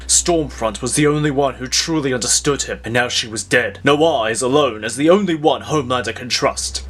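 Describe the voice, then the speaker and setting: A man's voice: mid-range voice, a bit bright. A man delivering dramatic scripted narration of an audio drama. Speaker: narrator of a fan audio drama, voicing the characters. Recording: music under the voice. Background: background music.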